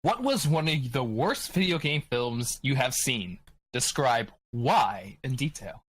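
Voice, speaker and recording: A man's voice: medium pitch, expressive, takes it slowly, fairly bright tone, breathy edge. A man chatting casually as a podcast panelist, polite and a bit hesitant.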